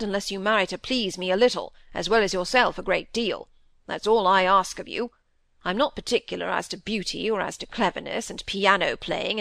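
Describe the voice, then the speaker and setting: A woman voicing a gruff, hot-tempered old country squire in an audiobook, blustering and emphatic, with rustic old-fashioned phrasing. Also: mid-range voice, a bit bright, dry recording. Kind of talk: audiobook character dialogue.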